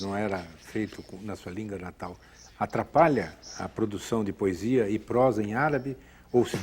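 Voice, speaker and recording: A man's voice: lowish voice, slightly rough. A Brazilian Portuguese-speaking man interviewing a guest, asking long questions and rephrasing them to clarify.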